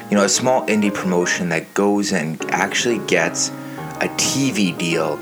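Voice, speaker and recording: Hoarse raspy man hosting a podcast. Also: low-pitched. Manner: animated, laughs and jokes, mimics others. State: hoarse from the flu. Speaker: podcast host. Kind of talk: casual podcast chat over beer.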